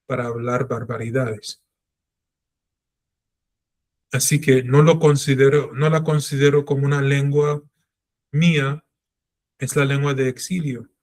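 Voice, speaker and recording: A middle-aged man teaching a Torah class in an earnest, self-reflective way, drawing on his own life. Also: mid-range voice. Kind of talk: religious class lecture.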